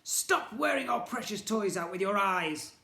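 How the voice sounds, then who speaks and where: A man's voice: sits high, lively intonation, measured pace, vocal fry, sharp tone, pitched above the performer's own voice, full character voice. A man voicing a nasty, bitter old male shopkeeper from a children's storybook, scolding in a haughty, exclamatory way. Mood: angry scolding. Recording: echoey room.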